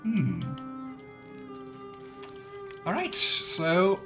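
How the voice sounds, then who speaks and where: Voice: medium pitch, huge pitch swings, drawn-out words, a bit bright, faint music behind. A let's player giving casual, musing commentary on a game and reading dialogue options aloud. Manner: musing, chatty asides.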